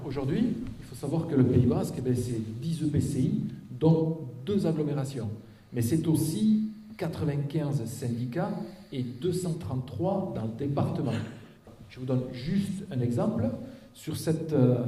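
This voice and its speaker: A man's voice: fairly high pitch, mellow. A man giving an explanatory public presentation as a local official, step by step, with check-in tags and rhetorical questions. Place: auditorium, public meeting. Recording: echoey auditorium, picked up from a distance.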